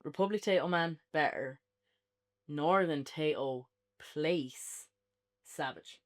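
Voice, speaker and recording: Higher-voiced Irish man chatting casually. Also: takes it slowly. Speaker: podcast co-host and reviewer. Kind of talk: casual unscripted banter.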